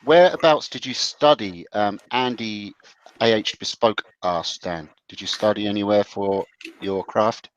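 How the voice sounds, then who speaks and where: A man co-hosting a podcast, asking relaxed, halting questions in casual chat. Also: lowish voice, no room sound, poor audio. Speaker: podcast co-host.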